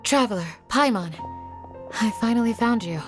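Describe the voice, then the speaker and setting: A woman playing a composed, earnest female guardian in an anime video game, speaking in a measured way. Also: on the high side, lively intonation, over music, lightly acted. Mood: relieved.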